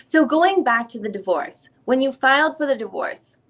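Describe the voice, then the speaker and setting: A woman acting as a female attorney with a fairly high voice, questioning a witness in a deposition reading. She is conversational and searching, feeling her way through each question and rewording it. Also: animated, moderate pace. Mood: probing. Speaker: reader playing a deposing attorney. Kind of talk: dramatic reading of a legal deposition.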